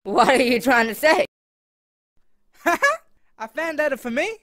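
A woman voicing an excitable, naive teenage boy hero in a cartoon parody dub, exclaiming in slangy, dramatic bursts. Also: high-pitched, unhurried. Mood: excited.

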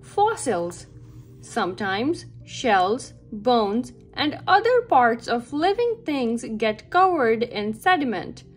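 Slow, lively woman reading to children. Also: a little high. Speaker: read-aloud narrator for children. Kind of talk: children's picture book read-aloud. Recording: background music under the voice. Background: music.

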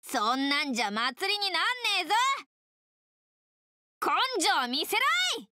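A woman voicing a brash, fiery, festival-loving tomboy fighter girl in an anime video game, with a rough, boisterous slangy delivery. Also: high voice, big pitch swings, measured pace, sharp tone, heavily acted. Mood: indignant, gruff.

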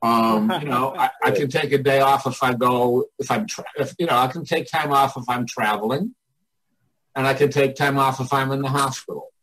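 An older man with a somewhat husky voice at an average pitch. He speaks like a mentor in casual conversation. His way of talking is candid, folksy and freely profane. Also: steady tone, normal speed, a bit bright, poor audio. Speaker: artists' coach and mentor, podcast guest. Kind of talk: casual motivational chat.

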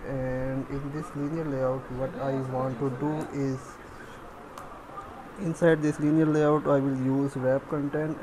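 Male tutorial instructor, halting and monotone, working through steps aloud on a noisy home-made recording. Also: mid-range voice. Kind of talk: step-by-step screen tutorial, thinking aloud.

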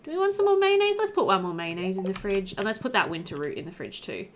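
A young woman talks through a video game in a casual, chatty way, thinking aloud. Her pitch is average for a woman. She is on a headset, with faint background noise and some clicking. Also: very animated, fast talker. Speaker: let's-play host. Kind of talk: casual gameplay commentary.

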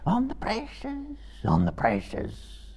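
A man voicing a cringing, fawning creature in a dramatic book reading, hoarse and whining, with pleading, repetitive speech. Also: high voice, wildly swooping pitch, slow pace, darkish tone, voice pushed up, exaggerated voice. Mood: pleading, grovelling. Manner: pleading, repetitive, broken third-person speech.

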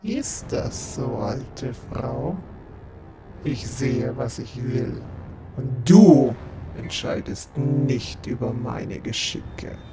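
A menacing godlike creature, really slow n commanding, audio drama with music clearly behind. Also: male voice, mid-range voice, very animated, rough recording. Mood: contemptuous. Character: menacing, imperious godlike being, a giant eye. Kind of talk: fantasy audio drama acting.

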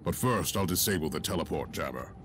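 Very deep, stern inquisitor. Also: male voice, expressive, fairly quick, a bit bright, a little hiss or hum. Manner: imperious, clipped, zealous. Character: stern, haughty imperial inquisitor warrior man. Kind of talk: dramatic video game acting.

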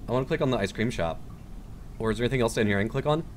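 A man's voice: medium pitch, rapid-fire, a little hiss or hum. A man in his thirties hosting a casual let's play from a room at home, commenting as he goes. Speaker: gaming video host.

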